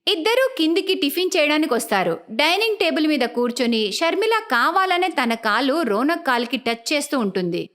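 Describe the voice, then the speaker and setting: A woman's voice: high-pitched, big pitch swings, moderate pace, a bit bright. A woman narrating a scripted cartoon story as its storyteller.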